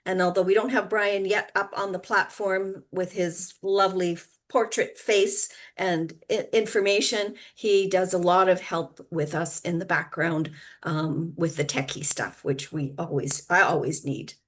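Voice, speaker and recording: A woman's voice: lowish voice, fairly even intonation, a little slow, hardly any pauses. A woman hosting an online wellness event, introducing speakers in a loose, hesitant way with many fillers. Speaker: host and founder of an online wellness platform.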